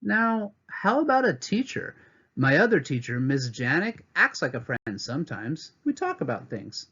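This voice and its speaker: A man's voice: fairly high pitch, wildly swooping pitch, normal speed, bright, forceful tone, breathy edge, highs cut off. A man teaching a read-aloud lesson to children, reading a picture book and adding teacherly asides from home.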